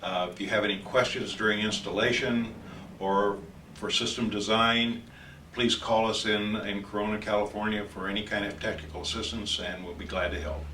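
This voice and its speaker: Older man, roomy distant recording, even delivery. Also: lowish voice, normal speed. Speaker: company representative. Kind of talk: presentation sign-off to camera. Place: office with large windows, indoors. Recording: roomy, distant mic, faint noise.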